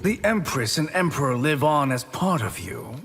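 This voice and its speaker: An actor playing a sly, haughty sorcerer in a fighting game, formal, measured and smug. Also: male voice, a little high, animated, long reverb tail, music in the background. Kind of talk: video game fighter dialogue.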